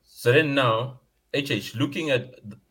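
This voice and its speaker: A man's voice: mid-range voice, measured pace, fairly bright tone, husky. A man with a South African accent in casual football chat, frank and emphatic, repeating his points.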